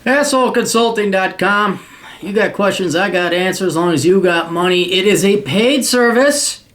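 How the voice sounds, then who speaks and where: A man's voice: high voice, moderate pace, fairly bright tone. A man hosting a paid advice show, lecturing his listener in a blunt, profane, mocking way. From United States. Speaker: podcast host giving paid advice.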